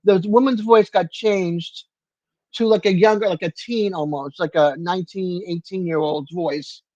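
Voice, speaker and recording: An older woman hosting a casual livestream chat from home, rambling and halting with lots of fillers and restarts. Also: fairly low pitch, steady tone, dark tone, no room sound. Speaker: livestream host. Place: home room with a fan. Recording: dull lo-fi stream audio.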